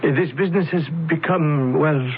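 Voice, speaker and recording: A man's voice: a little high, moderate pace, slight background noise, lightly acted. An actor playing a polite, regretful film company man in a radio drama. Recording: old radio broadcast, phone-like.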